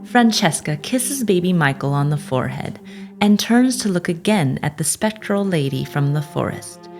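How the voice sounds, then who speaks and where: Casting: female narrator, lowish voice, theatrical scripted storytelling, music underneath. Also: lively intonation, moderate pace, gravelly. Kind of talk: scripted dramatic audio drama narration.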